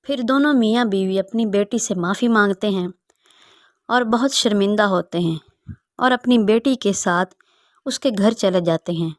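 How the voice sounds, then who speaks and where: A woman's voice: medium pitch, normal speed, no echo, studio quality. A woman narrating a scripted story as its storyteller.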